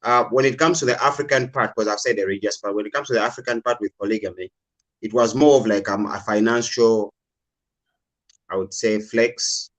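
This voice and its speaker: A man's voice: fairly low pitch, fairly even intonation, fairly quick, low-quality recording. Man from Uganda, caller, casual, hedging, many fillers. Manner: hedging, repeats "I would say" and "like". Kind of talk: casual call-in discussion.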